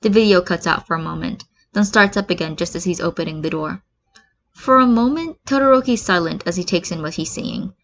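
A woman's voice: on the low side, a little fast. Woman reading a story, slightly muffled. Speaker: fan fiction reader. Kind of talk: expressive amateur story narration.

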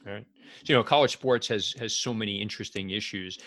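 An American man hosting an interview, asking conversational questions in a halting, roundabout way. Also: fairly low pitch, fairly bright tone, no room sound. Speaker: podcast host, interviewer.

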